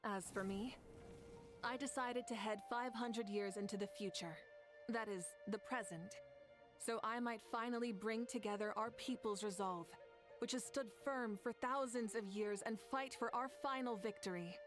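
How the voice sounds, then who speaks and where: An actress playing a proud, fiery woman who leads her nation, speaking as earnest game cutscene dialogue with soft music behind. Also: on the high side, normal speed, natural voice. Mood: solemn. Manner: solemn, earnest storytelling.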